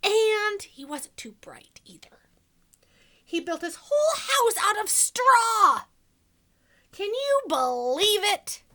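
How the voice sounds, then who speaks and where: A woman voicing an aggrieved male storybook wolf pleading his innocence, with exaggerated, drawn-out, emphatic delivery. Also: very high-pitched, sing-song, sharp tone, pitched above the performer's own voice, heavily acted. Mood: explaining, exasperated. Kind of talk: dramatic children's storybook read-aloud.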